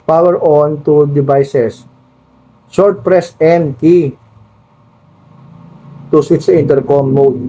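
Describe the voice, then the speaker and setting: A man's voice: fairly high pitch, warm tone, muffled recording, slight background noise. A Filipino man hosting a casual hands-on tutorial vlog, chatting directly to his viewers. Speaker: vlogger hosting an unboxing and installation.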